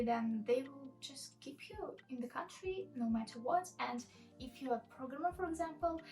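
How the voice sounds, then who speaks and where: A woman in her twenties from Russia, speaking English with a Russian accent, telling a personal story to camera in an earnest, plain way. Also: on the high side. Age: 20s. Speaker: vlogger. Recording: voice a bit far from the mic, soft music behind.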